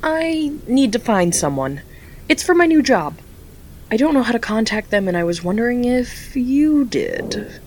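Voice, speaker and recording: A woman's voice: fairly high pitch, big pitch swings, unhurried, darkish tone, slight background noise. An actress playing an earnest, hesitant young woman who works for a charity. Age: young adult.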